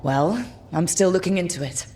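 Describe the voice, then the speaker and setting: An actress playing a confident, wry adventurer in a video game, with a direct manner. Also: female voice, on the low side, moderate pace, dark tone, a little hiss or hum, natural voice. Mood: firm, determined. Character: confident, wry adventuring woman, determined and teasing. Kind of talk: video game character acting.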